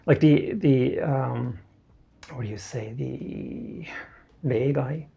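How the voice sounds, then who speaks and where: Creaky, husky young man explaining casually. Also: medium pitch, slow pace, warm tone, lo-fi sound. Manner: loose and explanatory, many "like" fillers. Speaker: interview guest, Japanese tutor. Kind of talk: casual conversational interview.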